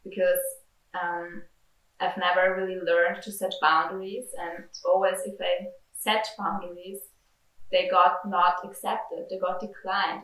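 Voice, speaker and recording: A woman's voice: on the low side, bright, forceful tone. A woman, speaking hesitantly with many fillers as she searches for words in a candid interview. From Austria. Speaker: retreat participant. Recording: roomy, distant mic.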